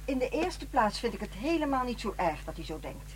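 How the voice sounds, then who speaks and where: A woman playing a brisk, unsentimental middle-aged ex-wife in a radio drama, matter-of-fact and dismissive. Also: a little high, very animated, a little hiss or hum.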